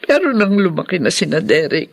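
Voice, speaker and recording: Husky, lonely, despondent parent. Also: male voice, sits high, very animated, a little fast, exaggerated voice. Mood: weary, sorrowful. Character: lonely, despondent parent left alone at home. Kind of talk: radio drama monologue.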